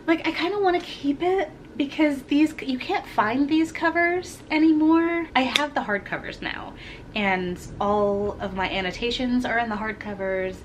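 A woman's voice: mid-range voice, slight background noise. A young woman chatting frankly about books she is giving away, a little congested with a slightly sore throat, recorded in a home room. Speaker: book vlogger. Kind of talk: casual book unhaul chat.

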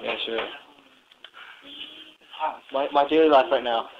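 A teenage boy filming his friends at home, talking loudly and laughing often on a handheld phone. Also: mid-range voice, slow pace, muffled recording. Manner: loud and excitable, laughing often. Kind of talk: casual chat. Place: house and backyard, in and out of doors. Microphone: handheld camera phone. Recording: noisy phone recording.

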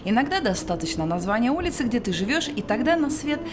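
A woman's voice: a little high, sing-song, quick pace, crowd noise. A woman narrating a scripted television news report as a reporter, recorded on a close voice-over microphone.

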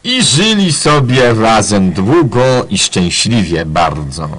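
A man's voice: on the low side, very animated, slow, a bit bright. A man narrating a radio play parody in a mock-solemn, ironic way. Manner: mock-solemn, tongue-in-cheek.

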